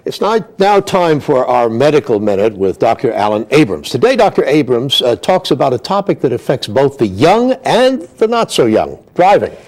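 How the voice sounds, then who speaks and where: A man's voice: medium pitch, big pitch swings, normal speed, few pauses, darkish tone. A man hosting a program and introducing segments in scripted broadcast style. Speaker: program host.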